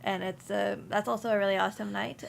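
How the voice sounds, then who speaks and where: A woman's voice: medium pitch, steady tone, fast talker, vocal fry, a little hiss or hum. A young woman from Houston, speaking casually as an interview guest, with many ums and restarts. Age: young adult. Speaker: insurance agency principal, guest.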